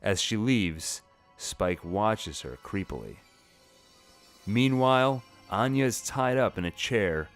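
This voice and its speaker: A man giving a scripted, dramatized narration of a comic story. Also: on the low side. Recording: music bed behind the voice.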